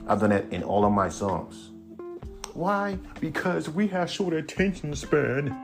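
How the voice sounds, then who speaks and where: A man hosting a podcast, ranting bluntly and profanely in a casual monologue, with a voice he calls monotone. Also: fairly high pitch, measured pace. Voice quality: self-described monotone voice. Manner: blunt, profane, animated rant. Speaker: podcast host. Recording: music playing behind the voice.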